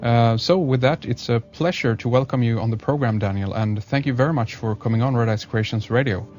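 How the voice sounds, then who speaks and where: A man hosting a radio show, introducing and interviewing a guest in a slow, hesitant way with many uhs. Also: medium pitch, darkish tone. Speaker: radio host. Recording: music bed behind voice.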